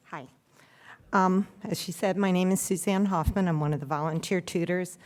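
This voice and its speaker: A woman's voice: fairly low pitch, moderate pace. A woman who volunteers as a tutor, giving a casual, admiring introduction speech at a graduation ceremony. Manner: warm praise with casual fillers. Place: event hall at a library graduation.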